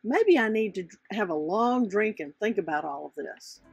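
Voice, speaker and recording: A woman's voice: mid-range voice, expressive, normal speed, gravelly, a little mellow, soft background music. A woman voicing a curious, innocent young female butterfly who thinks aloud in a children's storybook. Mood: worried. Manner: wondering, thinking aloud to herself. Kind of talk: children's storybook character reading.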